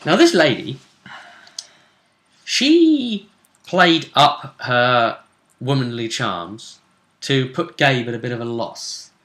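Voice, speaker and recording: A man's voice: mid-range voice, big pitch swings, slow, pauses often, a bit bright, roomy sound. A man chatting casually as he hosts a recap of a tabletop role-play game.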